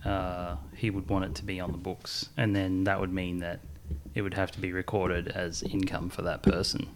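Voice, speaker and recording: Low gravelly man chatting casually. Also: flat delivery, normal speed, noisy background. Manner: rambling, chuckles often. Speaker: podcast co-host. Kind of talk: casual chat about games.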